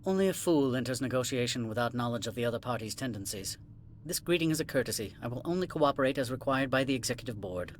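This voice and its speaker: An actor playing a haughty alien corporate administrator in a video game, condescending, formal and clipped, dismissive of outsiders. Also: male voice, mid-range voice, animated, fast talker, slight background noise, lightly acted. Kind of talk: video game cutscene acting.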